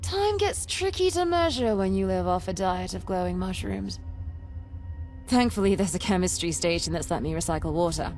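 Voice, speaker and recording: A woman performs an eccentric young female treasure hunter for a video game. Her delivery is highly animated and chatty, full of boastful, dramatic emphasis. Quiet music plays behind her. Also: moderate pace, lightly acted. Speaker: game companion character. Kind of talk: theatrical video game voice acting.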